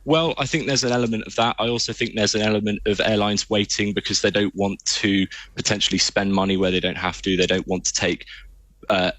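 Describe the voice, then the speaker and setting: A man speaking as a journalist guest in a live news interview, giving measured, careful answers. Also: fairly low pitch, steady tone, fairly bright tone, no echo.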